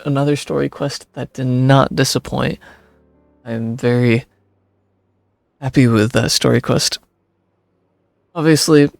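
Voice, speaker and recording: A male streamer talks through a game in a laid-back, halting way. His mid-pitched voice is heavily creaky, recorded dry with faint music underneath. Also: a little mellow, dry recording. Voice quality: creaky, gravelly. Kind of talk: casual playthrough commentary.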